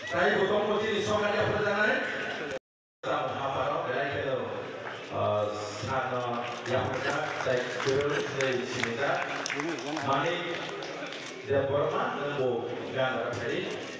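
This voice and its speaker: A man announcing an award ceremony over a public address system at an outdoor stadium, speaking haltingly with many pauses. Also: medium pitch. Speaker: event announcer. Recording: distant PA in echoing stadium, crowd and noise.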